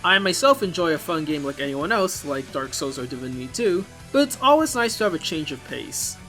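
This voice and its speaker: A man's voice: on the high side, very animated, a little fast, pushed and bright. A man hosting a video essay, reading scripted narration in an informal, opinionated explainer manner. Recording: music behind the voice.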